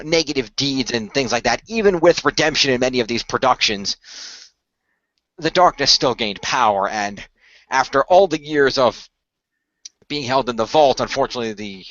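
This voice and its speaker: A man improvising the part of an earnest company insider, explaining things in a measured, hesitant way as a game master. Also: medium pitch, fairly bright tone, dry recording, dull audio. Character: earnest, measured company insider revealing a secret magical order. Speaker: game master playing a character. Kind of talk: improvised in-character exposition for a role-play story.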